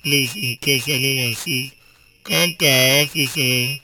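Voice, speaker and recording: A man's voice: mid-range voice, measured pace. A man with a Nigerian Pidgin accent, hosting a casual call-in radio show, talking in a chatty way and tagging his points with a questioning "eh?". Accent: Nigerian Pidgin English. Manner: chatty, rhetorical "eh?" after each point.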